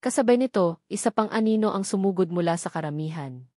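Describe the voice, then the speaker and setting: Woman, audiobook narrator, scripted storytelling, medium pitch, very dry recording. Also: expressive, quick pace, dark tone, no room sound.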